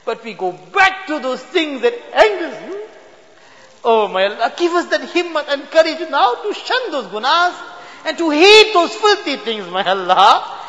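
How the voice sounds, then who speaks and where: A man's voice: very high voice, very animated, unhurried, pushed and bright. A man leading an impassioned, pleading prayer of supplication, his voice breaking with emotion, recorded in a mosque prayer hall. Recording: dull, reverberant hall recording.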